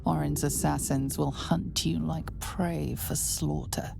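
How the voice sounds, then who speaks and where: A woman playing the grave narrator of a dark fantasy game, solemn, measured and ominous. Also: low-pitched, fairly even intonation, creaky, soft background music, heavily acted.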